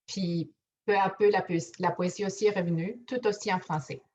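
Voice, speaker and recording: A woman's voice: medium pitch, steady tone, normal speed, a little mellow. A young woman, a native Spanish speaker, giving a prepared personal testimony as a writer and actor. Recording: dull, band-limited audio with faint noise and clicks.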